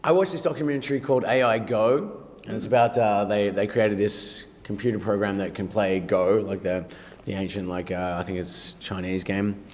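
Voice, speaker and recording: A man's voice: on the low side, fairly even intonation, moderate pace, darkish tone, big-room sound. A man with an Australian accent, an actor giving a casual interview, rambling playfully and poking fun at himself. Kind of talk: casual joking interview chat.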